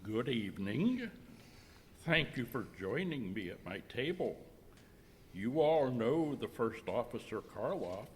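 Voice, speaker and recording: Man playing a slow, sinister ship's captain. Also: medium pitch, very animated. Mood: calm menace. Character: sinister, calmly menacing cruise ship captain. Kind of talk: amateur live comedy horror radio skit. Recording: echoey hall, background noise.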